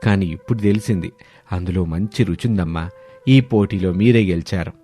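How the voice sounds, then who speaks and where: A man voicing a kindly older father-in-law, affectionate and playfully blunt, with slow, emphatic delivery, in a story. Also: sits low, warm tone, pitched below the performer's own voice. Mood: placating. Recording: studio voice over background music.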